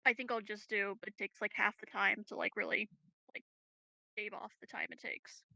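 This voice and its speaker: A woman chatting casually as a player in a tabletop game, agreeable and a little halting. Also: mid-range voice, steady tone, vocal fry, bright, forceful tone, dry recording, poor audio. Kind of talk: casual game-session chat.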